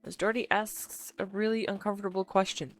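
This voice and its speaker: A woman playing an earnest, bashful, talkative girlfriend in an intimate audio roleplay, speaking straight to the listener in a halting, teasing manner. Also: medium pitch, level tone, close to an everyday voice. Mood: earnest, absorbed.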